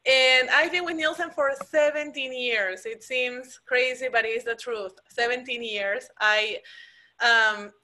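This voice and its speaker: A woman from Peru introducing herself on a webinar panel, cheerful and animated. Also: a little high, unhurried. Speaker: diversity program executive. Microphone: video call.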